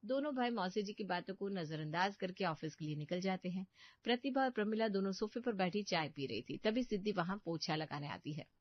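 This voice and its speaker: A woman's voice: mid-range voice, lively intonation, fairly quick, no room sound, lo-fi sound. A woman giving scripted storytelling narration for a family cartoon.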